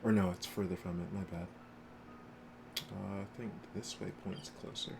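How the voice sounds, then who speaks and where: A man with a low voice talks casually to the viewers of his game stream. He sounds like he is explaining things off the cuff, with clear game noise behind him. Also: steady tone, moderate pace. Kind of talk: casual livestream chat with viewers.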